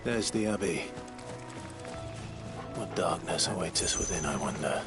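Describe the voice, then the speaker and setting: An actor playing a brooding, compassionate warrior in a video game, with a grave, measured delivery. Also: male voice, low-pitched, animated, music in the background.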